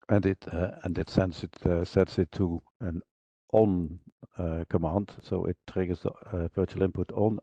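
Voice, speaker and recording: A man giving an unscripted technical walkthrough as a product trainer, speaking haltingly in fragments, often hesitating and searching for words. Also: deep voice, dark tone. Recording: dull, roomy online presentation audio.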